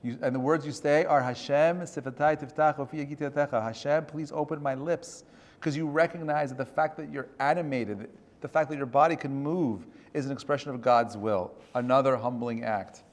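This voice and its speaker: A man's voice: medium pitch, a little mellow. A man giving a conversational religious lecture as a rabbi, earnestly putting questions to his listeners. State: voice strained, choking up. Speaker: rabbi teaching a class. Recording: reverberant hall.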